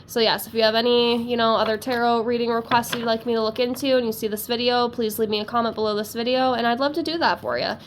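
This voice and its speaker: Woman with a stuffy cold, fairly high voice, rambling, casual tarot chat, faint background noise. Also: fairly even intonation, fast, few pauses. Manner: rambling, talkative, self-deprecating. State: congested from a cold. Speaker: tarot reader. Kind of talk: casual chatty tarot reading.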